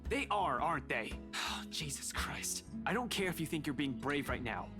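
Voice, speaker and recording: A man's voice: a little high, lively intonation, normal speed, lightly acted. An actor playing a stern, protective young man who scolds in exasperation in a horror visual novel game. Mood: exasperated, scolding. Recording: music behind the voice.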